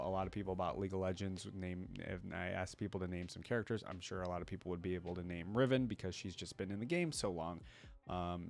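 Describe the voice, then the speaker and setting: A man hosting a reaction channel, giving casual off-the-cuff commentary in a rambling, conversational way, thinking aloud. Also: fairly low pitch. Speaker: reaction video creator, channel host.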